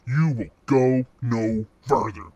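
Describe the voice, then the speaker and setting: A man's voice: mid-range voice, takes it slowly, warm tone, dry recording, highs cut off, pitched below the performer's own voice. A hulking giant villain, put on by a male narrator, growling and grave. The voice drops well below his normal talk, threatening and dryly recorded, in audiobook style. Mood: menacing. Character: massive, menacing axe-wielding giant villain. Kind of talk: fan fiction audiobook character voice.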